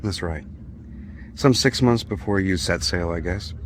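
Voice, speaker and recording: Low-voiced, weary sailor prisoner man acting. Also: slight background noise. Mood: weary, calm. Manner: measured, world-weary. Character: weary, resourceful, steady American sailor prisoner. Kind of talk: radio drama acting.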